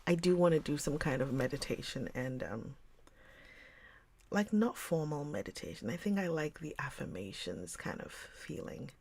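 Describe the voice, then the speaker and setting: Low-voiced chatty woman streamer. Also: very animated, normal speed, vocal fry, husky. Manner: chatty, rambling, often drops to a soft whisper. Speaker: livestream host reading books. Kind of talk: casual chat with live viewers.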